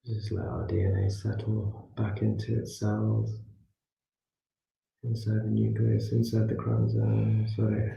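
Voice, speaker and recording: A man leading a guided meditation as a class teacher, speaking soothingly in short phrases with pauses between them. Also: fairly low pitch, completely flat delivery, gravelly, dark tone. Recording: muffled echoey room, distant from mic.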